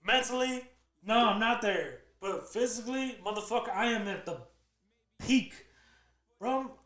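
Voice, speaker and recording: Hyped young man, slightly high voice. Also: a little slow, a bit bright. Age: 20s. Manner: hyped, swaggering, profane. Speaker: podcast guest. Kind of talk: casual podcast chat. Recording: echoey room.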